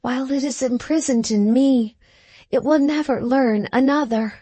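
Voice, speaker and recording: A woman's voice: high-pitched, normal speed, warm tone, husky, dry recording, pitched above the performer's own voice. A woman voicing a fervent, visionary male scholar in an audiobook, speaking in solemn, lofty oratory. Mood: fervent, exalted. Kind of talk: literary audiobook character reading.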